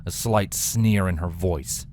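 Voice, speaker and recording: A man narrates a fantasy story in a dramatic, scripted reading style. His voice sits on the low side. Faint ambient noise runs behind him. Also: slow, darkish tone. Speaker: fan fiction narrator.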